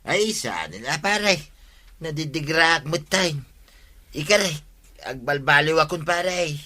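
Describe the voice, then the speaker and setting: A man's voice: a little high, animated, takes it slowly, bright, forceful tone, heavily acted. A man with an Ilocano accent playing a whiny, hapless village prankster in a comedy radio drama. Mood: meek, apologetic.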